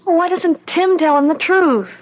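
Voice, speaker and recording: An actress in a 1970s radio drama playing a frightened, distraught young girl in a halting, childlike way. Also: very high voice, lively intonation. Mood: upset, defensive. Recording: dull, lo-fi 1970s radio recording.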